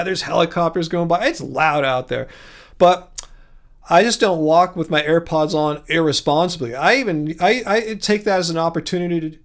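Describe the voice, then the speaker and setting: A man with a slightly raised voice and a gravelly edge chats casually on his livestream. He rambles easily through viewer comments, restarting his sentences often and chuckling along the way. He is recorded close on a studio mic at home. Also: fairly high pitch. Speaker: livestream host.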